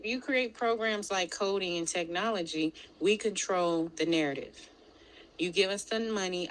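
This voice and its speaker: Woman, somewhat low voice, passionate debater in a group talk. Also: fairly bright tone, poor audio. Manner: passionate, argumentative. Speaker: discussion participant. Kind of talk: group discussion.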